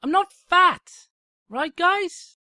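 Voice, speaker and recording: A man voicing a highly strung young female pony princess in a fan fiction reading, going from muttering to shrieking. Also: lively intonation, extremely slow, sharp tone, no room sound, exaggerated voice. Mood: indignant shrieking.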